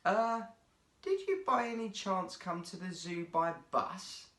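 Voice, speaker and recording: A man voicing a kindly seal trainer at a zoo show in a children's story, with a hearty, deliberate announcer's delivery. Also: high voice, a bit bright, reverberant, voice pushed up. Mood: curious.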